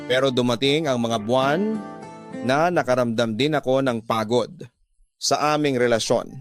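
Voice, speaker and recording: A Filipino man with an accent from the Philippines, reading a scripted dramatic story as a radio drama host. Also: mid-range voice.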